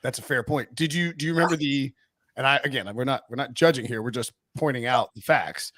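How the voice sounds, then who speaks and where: A man hosting a podcast, chatting casually and jokingly about sports, his voice slightly raspy. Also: mid-range voice, a bit bright, no room sound, clean studio sound. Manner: fast, joking, reads quotes aloud. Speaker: podcast host.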